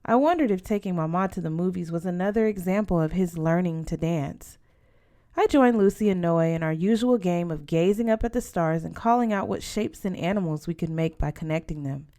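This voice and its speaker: A woman's voice: fairly low pitch, fairly quick, mellow. Woman reading a first-person story aloud. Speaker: audiobook reader.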